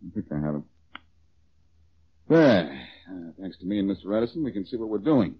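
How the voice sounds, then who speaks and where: A man's voice: sits low, very animated, breathy edge, exaggerated voice. A man playing a wisecracking, cocky amateur detective with sardonic retorts, in an American accent and vintage radio drama style. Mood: dry, confident. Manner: sardonic, quick with dry retorts. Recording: dull, muffled old-time radio recording.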